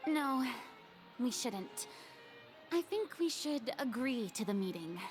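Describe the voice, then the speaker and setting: A woman's voice: high voice, big pitch swings, slow, heavily acted. An actress playing a self-important but flustered young female ruler in a video game, dramatic, pompous and hesitant. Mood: defensive, evasive. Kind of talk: scripted video game dialogue. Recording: music under the game dialogue.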